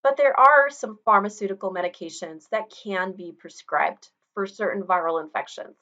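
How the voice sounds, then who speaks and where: A woman's voice: medium pitch, moderate pace, sharp tone, highs cut off. A middle-aged woman teacher giving a recorded online lecture, explaining in a conversational way with personal asides. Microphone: webcam video recording.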